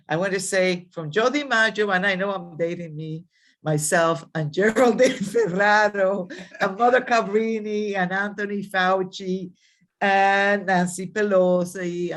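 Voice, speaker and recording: An older woman from the Dominican Republic, a college president giving a rambling ceremonial speech over a video call, with many fillers and restarts. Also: fairly low pitch.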